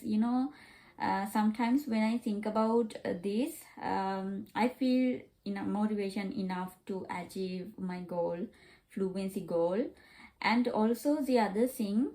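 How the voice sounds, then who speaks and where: A woman learning English as a second language, talking casually in a vlog, pausing with many fillers and repeating herself. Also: mid-range voice, dark tone, rough recording. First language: not English.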